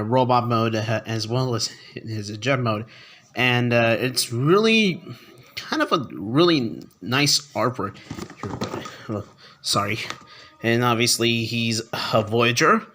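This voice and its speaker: A young man presents a toy review in a casual, enthusiastic way. His voice sits at a middle pitch, and his delivery is halting, with words often repeated. He talks to a camera in a room at home. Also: a bit bright. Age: young adult. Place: small furnished room at home, indoors.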